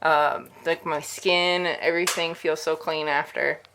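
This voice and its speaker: A woman's voice: deep voice, little pitch movement, a little slow, sharp tone, slight background noise, rough recording. A woman in her thirties talking in a chatty, enthusiastic way. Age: 30s. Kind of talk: short spoken greeting.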